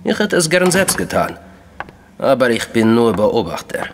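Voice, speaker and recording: An actor playing an enigmatic Russian official in a crime radio drama, courteous, deliberate and coolly menacing. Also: male voice, mid-range voice, big pitch swings, in a large hall, slight background noise. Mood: coolly confessional.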